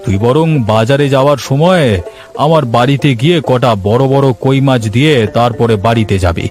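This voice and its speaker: A man's voice: mid-range voice, brisk, a little mellow, soft background music, lightly acted. A man playing a bossy, self-important village headman in a Bengali cartoon.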